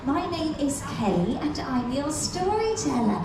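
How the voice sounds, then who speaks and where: Woman, children's stage storyteller, high, sing-song animated pitch, unhurried, echoey distant theatre, loud music. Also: background noise.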